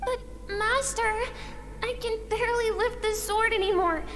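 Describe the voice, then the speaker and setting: A woman's voice: very high voice, fairly even intonation, unhurried. A woman voicing an exhausted, suffering young sword apprentice girl in a video game, weary and pleading. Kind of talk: dramatic video game voice acting. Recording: long hall reverb, faint noise.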